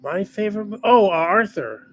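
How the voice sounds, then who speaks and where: A man co-hosting a podcast, chiming in with short remarks and quick questions. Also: high-pitched, expressive. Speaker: podcast co-host. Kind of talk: casual podcast chat.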